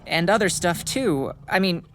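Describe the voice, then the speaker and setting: A woman's voice: on the low side, lively intonation, measured pace, background noise. A woman acting the part of an anxious, protective schoolkid with a secret pet in an audio drama, speaking hesitantly. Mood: earnest, sheepish. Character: Nico, earnest anxious schoolkid hiding a pet baby dragon. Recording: music bed behind the voice.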